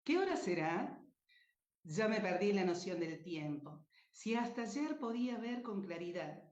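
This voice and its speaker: A woman's voice: mid-range voice, sing-song, darkish tone. Socorro, troubled old dreamer, acted by a woman, echoey. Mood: lost, anguished. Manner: slow, dramatic, wistful. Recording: echoey room, dull muffled sound.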